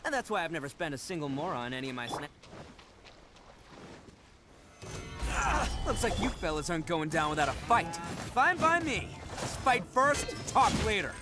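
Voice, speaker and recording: A man's voice: fairly high pitch, sing-song, brisk, few pauses, loud music behind the voice. A man playing a brash, boastful young oni brawler in a video game, with a swaggering, slangy delivery.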